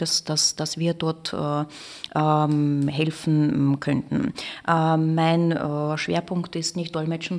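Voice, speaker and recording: A woman with a Russian accent co-hosting a podcast, speaking haltingly with many filler sounds. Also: deep voice, a little mellow. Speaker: podcast co-host, translation student. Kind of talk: hesitant podcast talk.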